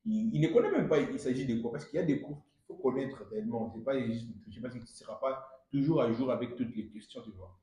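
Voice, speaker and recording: Very echoey room, young man, relaxed dark tone, podcast host, casual interview. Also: mid-range voice, fast, few pauses.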